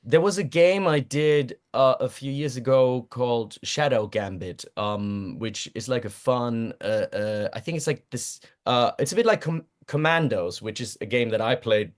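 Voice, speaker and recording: A man's voice: medium pitch, moderate pace, few pauses. A man whose first language is German, a voice actor guest chatting casually in an interview, rambling and self-deprecating, laughing often and restarting his sentences.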